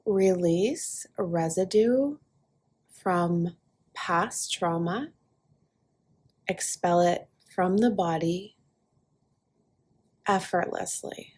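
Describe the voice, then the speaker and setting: A woman guiding a relaxation meditation in a soft, breathy voice, soothing and unhurried, leaving long pauses between short phrases. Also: on the low side. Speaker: yoga nidra guide. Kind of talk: guided relaxation meditation, speaking softly to listener.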